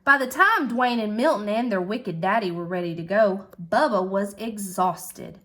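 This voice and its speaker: A woman reading a children's story aloud in an expressive schoolteacher style. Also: medium pitch, moderate pace. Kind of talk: animated children's storybook narration.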